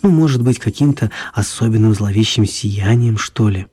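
A man's voice: on the low side, normal speed, husky, soft background music. A man narrating a children's radio play. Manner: even, storytelling. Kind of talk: scripted storybook narration.

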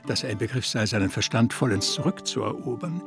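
Male narrator, expressive range, creaky edge, literary radio drama over music. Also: medium pitch, a little fast.

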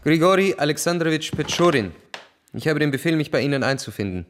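An actor playing a formal, aloof young army officer of twenty-five in a radio play. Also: male voice, fairly high pitch, animated, fast, slight background noise, close to an everyday voice. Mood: formal, cool. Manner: formal military reporting.

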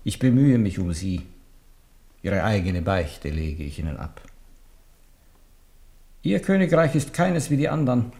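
An older man in a radio drama playing a bowed old papal legate, formal and deliberate, humble on the surface but coldly warning. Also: lowish voice, big pitch swings, creaky, mellow, full character voice. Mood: stern, accusing. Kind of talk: 1970s German radio drama acting.

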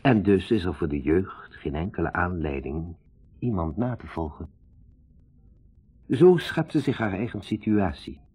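Pompous male psychologist for a radio play: dark, husky, widely swinging lecturing delivery. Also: low-pitched, expressive, a little slow, pauses often, heavily acted. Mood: solemn, lecturing. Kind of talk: 1960s Dutch radio drama acting. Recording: 1960s radio drama recording.

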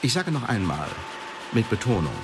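An actor playing a cold, sardonic Elizabethan secret-service interrogator in a German radio drama, speaking in a pedantic, condescending, formal way. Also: male voice, lowish voice, big pitch swings, normal speed, music in the background. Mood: sardonic, accusing.

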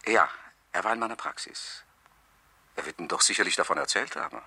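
An actor playing a calm, evasive older family doctor, soothing and measured, in a 1970s radio crime drama. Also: male voice, sits low, slightly rough, dry recording, exaggerated voice.

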